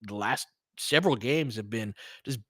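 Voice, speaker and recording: A man's voice: mid-range voice, moderate pace, a bit bright, no room sound, studio quality. A man giving informal basketball play-by-play and analysis, conversational and opinionated, with some mild swearing. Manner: conversational, opinionated, mildly profane. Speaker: sports commentator, host.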